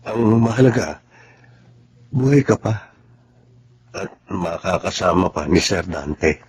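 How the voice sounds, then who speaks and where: A man acting a loyal, earnest family driver in a Filipino radio drama, soft-spoken and respectful. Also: sits low, animated, takes it slowly, husky, full character voice. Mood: tender.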